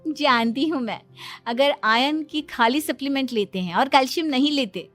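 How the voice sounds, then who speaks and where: A woman's voice: high-pitched, normal speed, fairly bright tone, soft background music. A woman playing a confident, boastful young daughter-in-law who explains everything in a cartoon family story. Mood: knowing, proud. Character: confident know-it-all young daughter-in-law, cheerfully self-assured.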